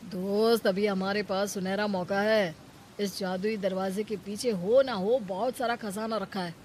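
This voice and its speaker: A woman's voice: mid-range voice, fairly even intonation, a little slow, darkish tone, slight background noise, lightly acted. A woman voicing a cunning, lazy, greedy village man in a Hindi moral-story cartoon, coaxing and wheedling. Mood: greedy, urging.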